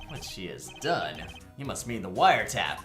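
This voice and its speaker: A man's voice: lowish voice, sing-song, moderate pace, a bit bright. A man voicing a young, wisecracking, determined defense lawyer in a video game fandub, with a sarcastic, joking manner. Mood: incredulous. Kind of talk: video game fandub acting with comic asides. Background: music.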